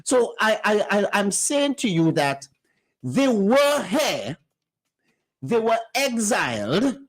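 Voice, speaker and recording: A man's voice: high-pitched, very animated, slow pace. A man co-hosting a radio talk show, lecturing insistently and talking over the others in a heated discussion. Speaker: radio co-host. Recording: radio studio.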